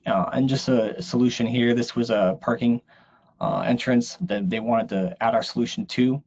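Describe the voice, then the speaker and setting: A man's voice: mid-range voice, fairly even intonation, moderate pace, vocal fry, darkish tone. A man giving an explanatory slide presentation in a webinar. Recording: dull, lo-fi online audio.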